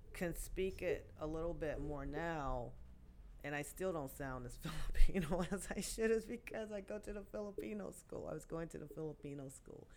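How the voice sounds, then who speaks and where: A woman in her sixties, a guest poet, telling her life story in a podcast interview with many pauses and restarts. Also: low-pitched, darkish tone, a little hiss or hum. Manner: halting, many fillers and restarts.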